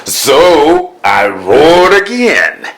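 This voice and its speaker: A man narrating as a proud, grumpy male lion king in a storybook, slow, grand and huffy, growling now and then. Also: a little high, very animated, a bit bright, exaggerated voice. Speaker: first-person narrator.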